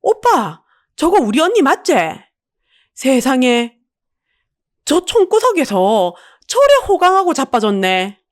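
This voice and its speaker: A woman's voice: high-pitched, very animated, slow, voice pushed up, exaggerated voice. A woman voicing a spiteful, greedy younger sister with a brazen, venomous manner and a Busan dialect in an audio drama. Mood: jealous, scheming. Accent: Busan Gyeongsang dialect.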